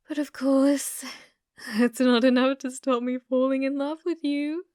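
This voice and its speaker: A young British woman playing an obsessive, delusional shop cashier stalker in an intimate ASMR roleplay, halting and flustered, slipping into menacing whispers. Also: sits high, steady tone, darkish tone, no room sound, clean studio sound. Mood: lovestruck, obsessive.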